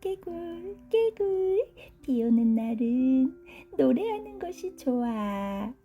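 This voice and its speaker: A woman voicing a small cheerful frog in a bedtime story, croaking in a bright sing-song. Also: high-pitched, very animated, slow pace, faint music behind, voice pushed up, heavily acted. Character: small cheerful frog who loves singing in rain.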